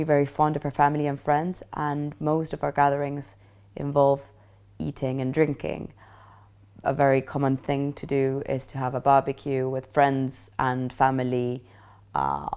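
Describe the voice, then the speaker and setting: A woman in her twenties from Argentina, answering interview questions in an earnest, steady way. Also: very deep voice, clean tone. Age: 20s.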